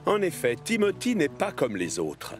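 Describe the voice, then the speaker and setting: A man's voice: a little high, huge pitch swings, moderate pace. A man narrating a children's cartoon in French, explaining the story as a scripted storyteller. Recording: music behind the voice.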